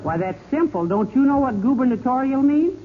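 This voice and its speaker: A sensible, long-suffering American wife in a 1940s radio comedy. She chides with dry affection. The vintage recording is dull, with clear noise throughout. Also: sits high, animated, brisk. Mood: wry, chiding. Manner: dry, gently chiding, affectionate.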